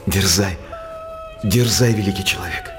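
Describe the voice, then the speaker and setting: A sly, cynical court jester. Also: male voice, low-pitched, a little slow, over music. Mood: cynical musing. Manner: insinuating, theatrical verse storytelling. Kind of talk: Russian theatrical radio drama acting.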